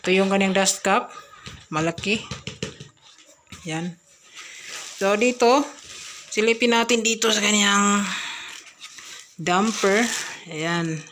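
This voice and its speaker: Slow-talking Filipino woman reviewing a product. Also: mid-range voice, fairly bright tone, a little hiss or hum. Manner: unscripted, halting delivery in short phrases. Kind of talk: casual hands-on product review.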